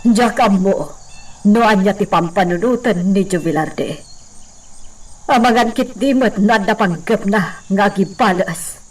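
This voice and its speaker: Actress as slow, breathy, fretful señora. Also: female voice, mid-range voice, darkish tone, far from the mic, natural voice, poor audio. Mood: worried, fearful. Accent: Filipino, Ilocano. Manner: anxious, sighing. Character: worried, fretful lady of the hacienda. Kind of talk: radio drama acting.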